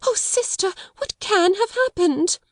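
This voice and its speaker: A woman's voice: very high voice, expressive, unhurried, dry recording, studio quality, voice pushed up. A woman voicing a timid, easily flustered grown younger sister in a storybook, stammering and breathless. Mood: tearful.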